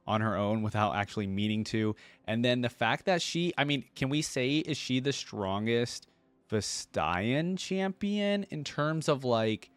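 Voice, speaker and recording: A man's voice: medium pitch, smooth, no room sound. A man talking casually to his viewers as a video host, rambling along with informal fan enthusiasm.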